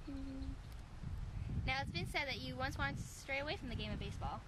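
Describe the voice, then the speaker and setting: A woman plays a chatty 1920s sports reporter in a homemade broadcast. She has a high voice and a quick scripted patter, with clear noise behind her. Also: animated. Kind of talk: amateur mock sports interview. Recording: noisy background.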